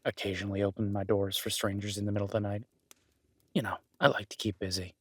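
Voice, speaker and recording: A man playing a solitary, kindly cabin dweller and former medic in an intimate audio roleplay, speaking haltingly with dry teasing and reassurance. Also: lowish voice, flat delivery, a bit bright, breathy edge, no room sound. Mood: wry, relaxed. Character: gruff-kind solitary cabin-dwelling former EMT, awkward, teasing, protective.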